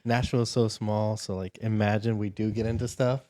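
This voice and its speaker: A man in his thirties co-hosting a casual podcast, animated and teasing in conversation. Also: on the low side, a little fast, no room sound, clean studio sound. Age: 30s. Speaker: podcast co-host. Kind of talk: casual chat.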